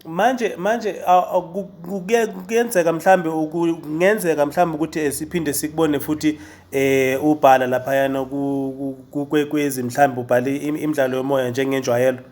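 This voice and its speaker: A man's voice: a little high, level tone, normal speed, darkish tone. A man interviewing someone casually, feeling his way into questions with repeated word beginnings and murmured agreement.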